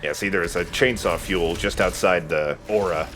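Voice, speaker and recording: A man's voice: low voice, moderate pace, a bit bright. A man giving casual, sweary commentary while he plays a video game. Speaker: let's play host playing the game. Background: game music.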